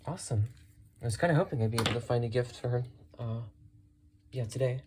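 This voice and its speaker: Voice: medium pitch, fast, darkish tone. Casual hobbyist musing aloud, some background noise. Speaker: radio collector presenting a thrift find. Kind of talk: casual hands-on narration while working.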